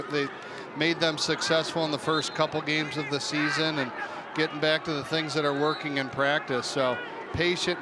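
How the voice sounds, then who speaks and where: Male analyst, forties, slightly high voice, chatty game commentary amid a roaring, noisy stadium crowd. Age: 40s. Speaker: color commentator, former lacrosse player. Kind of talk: conversational sports color commentary. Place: indoor sports dome. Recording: loud arena crowd noise behind voice. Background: loud crowd and stadium ambience.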